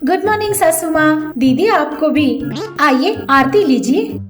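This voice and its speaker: Casting: woman playing a deferential, eager-to-please young daughter-in-law for a family tale; high voice, roomy sound with music. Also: expressive, unhurried. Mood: caring. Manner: earnest, polite, deferential. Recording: echoey voice over background music.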